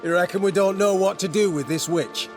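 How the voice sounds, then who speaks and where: Man as a sneering, high-pitched witch hunter thug. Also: a little fast, music in the background, close to an everyday voice. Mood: menacing. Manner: taunting, crude. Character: sneering, brutish male witch hunter, menacing zealot. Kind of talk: video game voice acting.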